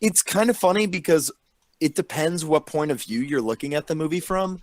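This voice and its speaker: A man's voice: on the high side, lively intonation, a little fast, a little mellow, no echo. Sweary male film fan, close dry sound. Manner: profane, enthusiastic, drops into recited movie lines. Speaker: panelist in a group chat. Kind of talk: casual chat about favourite film quotes.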